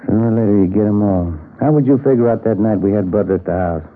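An American actor playing a plain-spoken, musing police detective in a 1950s radio crime drama, in a conversational manner. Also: male voice, lowish voice, fast, close to an everyday voice. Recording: muffled, narrow-band vintage radio recording.

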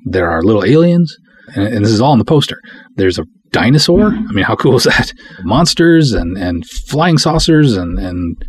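A man hosting a podcast in a casual, rambling, enthusiastic way, with emphatic delivery and a husky voice. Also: fairly low pitch. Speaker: podcast host and independent filmmaker. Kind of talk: casual solo podcast talk.

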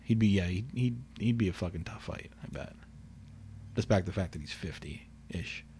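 A man co-hosting a casual podcast chat, talking quietly and calmly, rambling with restarts and swearing. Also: sits low, normal speed, slight background noise. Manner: quiet, calm delivery; rambling with frequent restarts and profanity. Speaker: podcast co-host.

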